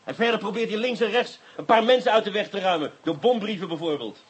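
An actor playing a stern, sardonic police inspector in a Dutch radio drama, with a dry, probing, formal way of talking. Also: male voice, sits high, fast talker, slightly rough. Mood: grave.

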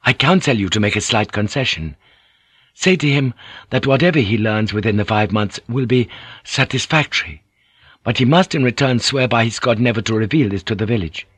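A man voicing a confident samurai counselor, measured, deferential and persuasive, in an audiobook story. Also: mid-range voice, fairly bright tone, husky, no echo.

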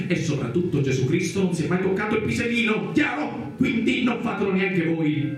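A greedy, sanctimonious priest in satirical radio theatre. His voice is fairly high for a man, pompous and preachy, sliding into chant and exclamation. He rings out from some distance in a very reverberant space, with faint music behind. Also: lively intonation, a little fast. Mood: stern, scolding.

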